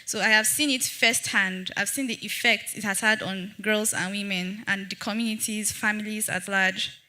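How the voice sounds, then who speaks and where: A young woman from Nigeria, earnestly telling her founder story as a panelist at a public dialogue, with halting restarts. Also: mid-range voice, fairly even intonation, pushed and bright. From Jos, Nigeria. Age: young adult. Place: event hall with audience.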